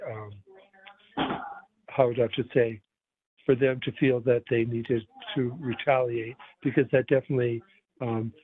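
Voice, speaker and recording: A man making an earnest public comment at a meeting, with some fillers. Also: mid-range voice. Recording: tinny phone line.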